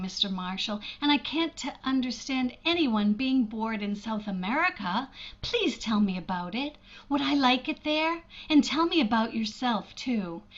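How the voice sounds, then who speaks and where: A woman's voice: a little high, dull audio. A woman playing a shy, lonely older sister from an old-time radio drama, hesitant, earnest and self-deprecating.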